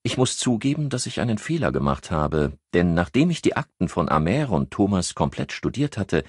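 A man's voice: deep voice, big pitch swings, moderate pace, slightly rough, dry recording, studio quality, heavily acted. A man voicing a composed, educated countess who explains things precisely, like a medical expert, in an audiobook. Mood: matter-of-fact.

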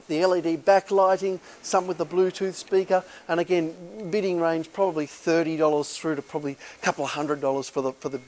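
A man's voice: fairly high pitch, a little mellow. A middle-aged man, walking through a large warehouse and giving a chatty, upbeat auction preview full of "uh" fillers. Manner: chatty and upbeat, many "uh" fillers, calls listeners folks. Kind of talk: casual walk-and-talk sales tour. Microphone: handheld camera while walking.

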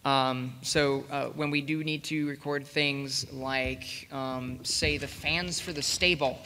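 Halting bright-voiced man in a reverberant hall. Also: medium pitch, fairly even intonation, slight background noise. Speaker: panelist, radio play producer. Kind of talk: casual panel talk. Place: large convention meeting room, panel table. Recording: hall reverb, convention room PA.

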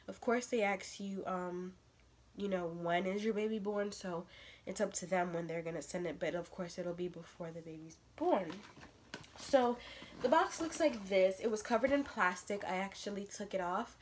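A woman's voice: mid-range voice, normal speed, a little hiss or hum. A young woman with a stuffy nose, chatting casually through an unboxing vlog in her bedroom, with lots of fillers as she rambles. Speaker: vlogger. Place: bedroom with a crib, indoors.

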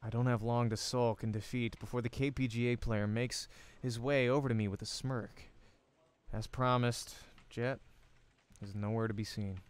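A man's voice: mid-range voice, steady tone, moderate pace, smooth. A man hosting a let's play, informally reading visual novel narration aloud. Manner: informal, breaks off to comment. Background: background music.

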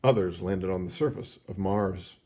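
A man reading a children's science book aloud in an expressive, explanatory storytelling manner. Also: deep voice, moderate pace, husky. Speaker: read-aloud narrator.

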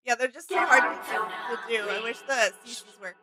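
Bright-voiced young woman, casual chat. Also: a little high, expressive, normal speed, faint music behind. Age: young adult. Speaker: reaction video co-host. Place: small indoor room with gaming setup.

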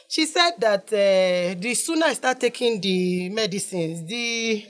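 A woman with a Nigerian accent playing an aging mother who nags and guilt-trips her son, wailing and mixing in pidgin. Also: on the high side. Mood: pleading. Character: aging Nigerian mother, dramatic, nagging, self-pitying. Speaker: mother in a comedy skit. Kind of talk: skit acting.

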